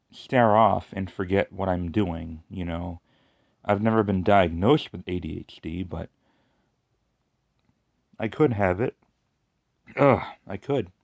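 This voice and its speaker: Low-voiced man with a lateral lisp. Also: dry recording, lo-fi sound. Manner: rambling, halting, many restarts and fillers. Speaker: YouTuber. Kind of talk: casual personal monologue.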